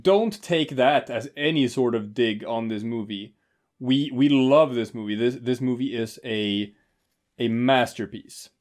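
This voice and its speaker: A man's voice: medium pitch, measured pace, darkish tone. Rambling man chatting over a movie. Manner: rambling, many fillers and restarts. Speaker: podcast co-host. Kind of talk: casual movie commentary chat.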